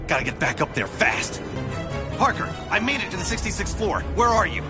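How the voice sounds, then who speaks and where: An actor playing an impatient futuristic superhero in a video game, curt, commanding and intense. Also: male voice, high-pitched, big pitch swings, brisk, over loud music. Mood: urgent. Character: urgent, stern, impatient futuristic male superhero. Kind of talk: dramatic video game cutscene acting.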